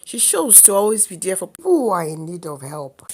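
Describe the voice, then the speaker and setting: Expressive Nigerian woman telling a dramatic folktale. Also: lowish voice, a little fast, breathy edge. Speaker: storyteller.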